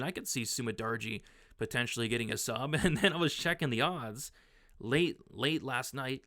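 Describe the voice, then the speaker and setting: Young male betting analyst, slightly hoarse, rambling casual delivery, smooth mid-pitched voice. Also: a bit bright. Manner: rambling, filler-heavy, frequent restarts. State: hoarse, losing his voice.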